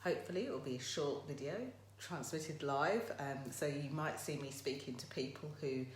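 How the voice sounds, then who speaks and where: A woman with a croaky voice hosting a casual live motivational talk, chatting directly to her viewers. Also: sits low, normal speed. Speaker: motivational livestream host. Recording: roomy echo, faint background noise.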